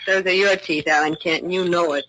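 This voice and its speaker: A woman's voice: lowish voice, fairly even intonation, a little fast, a bit bright, natural voice, low-quality recording. A woman acting a plucky, bossy young in an old-time radio drama, scolding and theatrical as she hides her fright. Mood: brave but nervous. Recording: hissy vintage radio recording.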